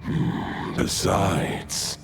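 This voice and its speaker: An actor playing an ancient, proud wolf lord in a video game, speaking gravely and slowly. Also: male voice, over music. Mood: solemn. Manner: slow, grave and measured.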